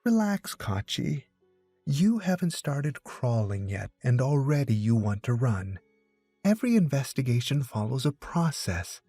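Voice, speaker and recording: Guy doing a bossy teenage girl detective, all business, audiobook style, soft-edged tone. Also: mid-range voice, very animated, unhurried, slightly rough, no room sound, soft background music. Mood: brisk, commanding. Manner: commanding, businesslike. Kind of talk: audiobook character dialogue.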